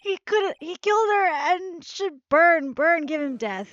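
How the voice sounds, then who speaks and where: A woman's voice: extremely high pitch, animated, unhurried, fairly bright tone, dry recording, pitched above the performer's own voice, exaggerated voice. A woman voicing a shifty, nervous male witness who stammers and blusters defensively, over slightly muffled game music. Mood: panicked.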